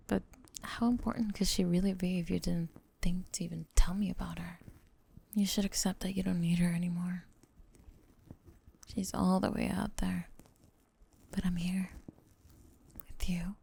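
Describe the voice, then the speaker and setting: A woman performs a young lamia roommate, lovestruck and sweetly menacing, in an ASMR roleplay. Her voice is lowish and heavily creaky, with a soft, husky whisper. She coaxes the listener slowly and tenderly, with a possessive edge. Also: little pitch movement, exaggerated voice. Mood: jealous, obsessive. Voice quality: breathy, whispery. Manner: slow, tender, coaxing.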